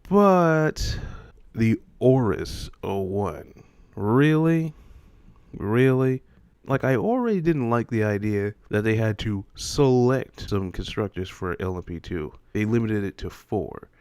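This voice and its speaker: A man with a fairly low voice delivers casual, opinionated commentary as the host of a video. His manner is exasperated and full of rhetorical questions, and the sound is dry and close. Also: lively intonation, slow pace, darkish tone, clear, resonant tone, dry recording.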